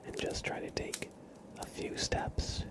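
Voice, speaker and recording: A man speaking in a breathy whisper, gently and reassuringly, in halting phrases as an ASMR streamer giving positive affirmations.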